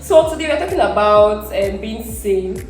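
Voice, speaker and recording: A young adult Nigerian woman hosting an interview, playful and giggly, asking quick questions. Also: mid-range voice, expressive. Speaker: host. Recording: echoey room, mic at a distance, faint music.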